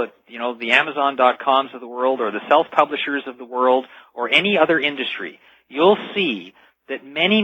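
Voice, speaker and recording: Dry lo-fi radio sound, man, medium pitch, guest expert, earnest, emphatic explanations. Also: husky, dry recording. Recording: dull, dry lo-fi radio audio.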